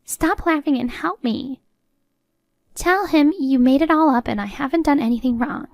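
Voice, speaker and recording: Woman playing a meddling mother-in-law, middle-aged, high voice, agitated, indignant, story narration, dry sound. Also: moderate pace, vocal fry, a little mellow, no room sound. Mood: panicked.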